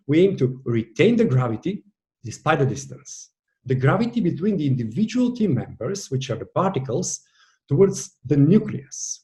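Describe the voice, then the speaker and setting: A Bulgarian-accented man talks through a coaching masterclass with a warm, mellow tone. He speaks English as a second language, and his delivery is earnest and deliberate. He presses on key words as he lays out each point. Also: fairly high pitch. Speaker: virtual team coach. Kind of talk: online masterclass presentation.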